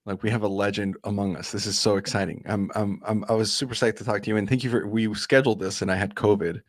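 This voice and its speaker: A man's voice: on the low side, steady tone, quick pace. A man hosting a casual podcast interview, eager and chatty, often restarting his sentences. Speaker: podcast host.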